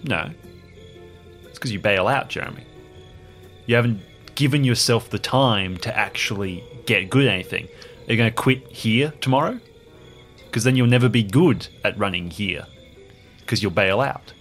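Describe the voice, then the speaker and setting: A man's voice: lowish voice, moderate pace, long pauses, a bit bright, soft background music. A man voicing a blunt, earnest friend giving plain-spoken advice in an improvised audio drama. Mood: firm.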